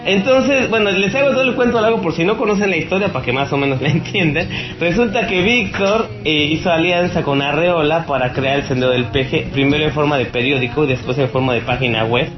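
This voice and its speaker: A man with a Mexican accent giving informal, opinionated political commentary as a radio host, rambling and halting, with blunt scorn. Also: on the high side, dull audio. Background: music.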